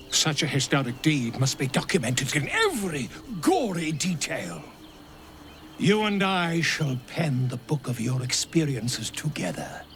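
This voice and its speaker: A man playing a flamboyant, excitable scholar and chronicler in a video game, speaking in a florid, theatrical manner. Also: high-pitched, exaggerated voice. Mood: delighted. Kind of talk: dramatic video game character acting.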